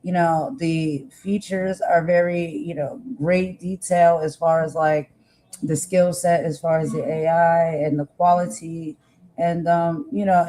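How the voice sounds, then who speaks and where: Raspy-voiced chatty woman host. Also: low voice, warm tone, rough recording. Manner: chatty, loose, full of fillers and slang. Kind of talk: casual chat.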